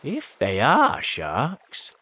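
A man voicing a blustering but nervous centipede in a storybook, insistent and drawn-out. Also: medium pitch, huge pitch swings, sharp tone, slightly rough, pitched above the performer's own voice, full character voice. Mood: afraid bluster. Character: blustering centipede, bold but secretly afraid.

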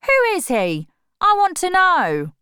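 A woman's voice: a little high, sing-song, unhurried, fairly bright tone, clean tone, no echo, studio quality, voice pushed up. Woman reading as a blunt, protective teenage boy. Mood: stern. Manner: earnest, protective. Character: young man of sixteen, blunt and protective.

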